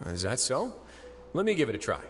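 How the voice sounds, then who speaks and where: An actor playing a composed, wry duke in an anime video game, speaking in a measured, courteous and sly way. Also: male voice, mid-range voice, wildly swooping pitch. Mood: calm concern. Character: composed, wry male duke running an underworld fortress. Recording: game audio with clear music behind.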